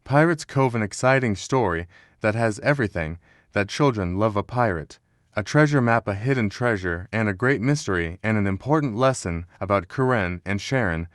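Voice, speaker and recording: A man's voice: on the low side, expressive, normal speed, no room sound, clean studio sound. A man reading scripted read-along narration as the narrator of a children's storybook.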